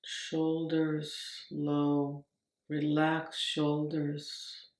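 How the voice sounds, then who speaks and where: Slow, even-toned woman guiding meditation. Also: low-pitched, reverberant. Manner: unhurried, gently instructing with short phrases. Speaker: meditation teacher. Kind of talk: guided meditation.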